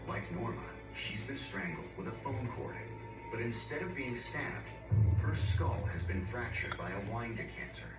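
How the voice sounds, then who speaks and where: A man's voice: mid-range voice, lively intonation, normal speed. A man reading scripted true-crime narration as a documentary narrator. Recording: echoey distant TV playback under loud music. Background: loud background music.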